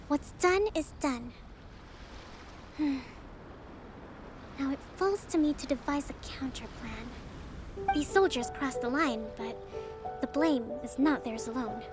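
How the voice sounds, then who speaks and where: An actress playing a young priestess-leader who speaks in a calm, measured, reassuring way, in a video game. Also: female voice, high voice. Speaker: divine priestess of an island. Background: game music.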